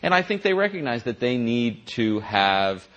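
A man, a journalist, answering interview questions in a halting way, with many restarts and a dry, wry edge. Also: medium pitch, big pitch swings, a bit bright, muffled recording. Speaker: journalist and author.

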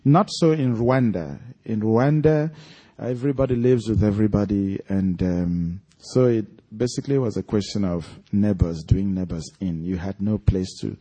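A man's voice: on the low side, moderate pace, dark tone, slightly rough. A man with a Nigerian accent, an author speaking and reading aloud to an audience at a live event. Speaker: author reading from his own story at an event.

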